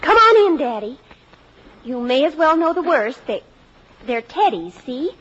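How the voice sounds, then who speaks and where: Teen daughter role: high-pitched, headstrong, pleading and emotional, for a dull-sounding old radio play. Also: female voice, big pitch swings, measured pace, fairly bright tone, heavily acted. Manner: earnest, emotional, insistent. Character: earnest, rebellious teenage daughter, eager and pleading. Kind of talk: old-time radio drama acting. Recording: muffled old radio broadcast.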